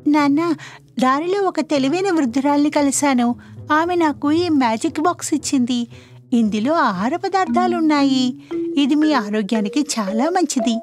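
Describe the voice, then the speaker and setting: A woman voicing a kind-hearted young girl in a children's cartoon story, earnest and expressive. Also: high voice, normal speed, soft background music, pitched above the performer's own voice. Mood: eager.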